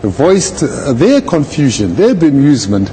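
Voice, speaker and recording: Male legal pundit for live interview: formal, deliberate phrasing, varied pitch, soft mellow tone. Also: sing-song, a little hiss or hum. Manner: measured, deliberate, formal wording. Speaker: legal commentator, retired judge. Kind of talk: live interview commentary on a court case.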